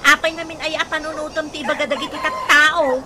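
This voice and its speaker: A woman's voice: high voice, little pitch movement, fairly quick, noisy background, natural voice. A woman playing a vain, stubborn young wife in a radio comedy drama, with an Ilocano accent and a defiant manner. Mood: smug defiance. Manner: defiant, brushing off criticism.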